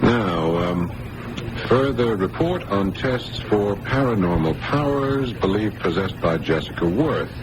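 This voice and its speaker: Earnest paranormal researcher, low pitch, halting delivery, 1980s radio play, noisy broadcast recording. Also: male voice, lively intonation. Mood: businesslike. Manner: halting, stammering under pressure. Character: earnest, rattled male doctor researching the paranormal. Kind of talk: 1980s radio drama acting.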